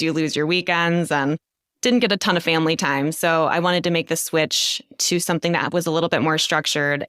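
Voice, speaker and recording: A woman's voice: lowish voice, fairly bright tone, clear, resonant tone, dry recording, studio quality. A woman in her thirties, a guest leadership coach telling her career story in a chatty podcast interview, laughing now and then. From United States. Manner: chatty, laughs often, says "so" a lot.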